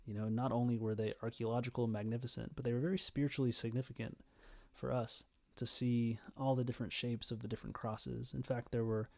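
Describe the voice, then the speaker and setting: A young man giving an informal prepared speech in an earnest, hesitant manner with frequent pauses. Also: mid-range voice, level tone, creaky, darkish tone, no echo. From Canada, Ontario. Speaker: student giving a persuasive speech.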